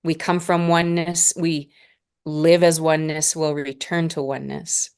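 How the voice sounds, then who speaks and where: Guest role: woman in her forties from Northern Alberta, low voice, calm unhurried spiritual talk. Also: clear, resonant tone. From Northern Alberta, Canada. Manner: slow, measured, spiritual phrasing with pauses. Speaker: podcast guest, spiritual mentor. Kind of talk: reflective interview conversation.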